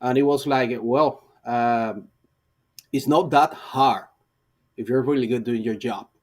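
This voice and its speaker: A middle-aged man from Cuba with a Cuban Spanish accent, a non-native English speaker, telling his story as an interview guest with many pauses and fillers. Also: medium pitch. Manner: halting, searching for words, many fillers. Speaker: guest, IT professional. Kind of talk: interview answers.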